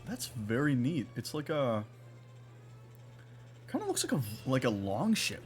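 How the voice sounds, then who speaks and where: A man's voice: mid-range voice, wildly swooping pitch, a little slow, faint music behind. A man giving casual live gameplay commentary as a streamer, musing aloud in a rambling way. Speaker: game streamer.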